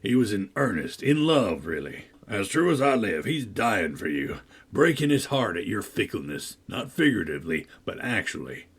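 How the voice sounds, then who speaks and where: A man reading a novel aloud, voicing a deep-voiced, menacing villain who sneers, coaxes and threatens. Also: very animated, normal speed, few pauses, slightly rough, full character voice. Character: menacing, brooding older man, a manipulative villain.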